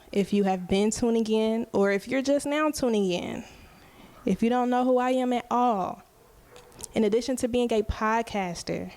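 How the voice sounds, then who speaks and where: A woman's voice: mid-range voice, darkish tone. A woman in her twenties hosting a solo podcast, talking casually and openly to her listeners, often checking in with "right?". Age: 20s. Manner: slow, unhurried, conversational, often asking "right?". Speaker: podcast host and musician.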